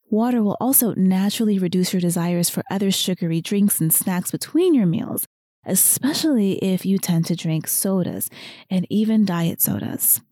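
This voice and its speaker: A woman hosting a podcast speaks gently and encouragingly, her voice a bit strained because she is losing it. Her tone is warm and mellow. The recording is close and dry. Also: medium pitch, no echo. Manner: softer than usual, encouraging. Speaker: podcast host and weight loss coach. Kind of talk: solo podcast talk.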